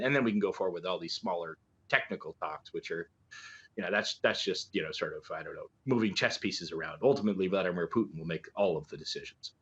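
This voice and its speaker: A man's voice: lowish voice, fairly bright tone. A middle-aged man giving live news commentary as an analyst, conversational and hesitant, posing rhetorical questions to make his points. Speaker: political analyst and journalist guest. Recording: dull, lo-fi remote link.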